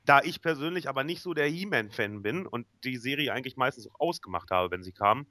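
A man's voice: mid-range voice, expressive, fairly quick, pushed and bright, dry recording. A man, hosting a casual podcast chat. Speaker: podcast host.

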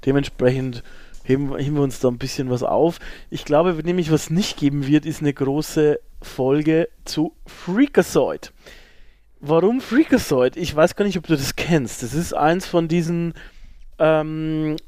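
A man's voice: fairly high pitch, a little slow, a little mellow, no room sound. A man chatting casually and slangily as a podcast co-host. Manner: slangy, informal. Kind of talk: casual pop-culture chat.

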